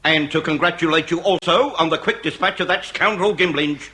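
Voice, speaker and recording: An actor playing a suave, shrewd gentleman investigator with a formal, florid politeness in a period radio thriller. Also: on the high side, fairly quick. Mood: suave, courteous.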